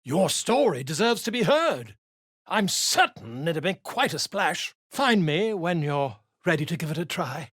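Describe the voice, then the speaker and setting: A man's voice: a little high, sing-song, unhurried, fairly bright tone, slightly rough, dry recording, clean studio sound, full character voice. An actor playing a flamboyant, self-important male bard and scribe in a video game, speaking in a theatrical, flowery, pompous way. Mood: grandiose.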